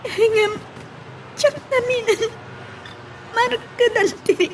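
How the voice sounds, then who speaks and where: Woman acting a high-pitched, heartbroken woman in love. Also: extremely slow, distant mic, a little hiss or hum, full character voice. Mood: hurt. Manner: tearful, melodramatic. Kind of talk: Ilocano radio drama acting.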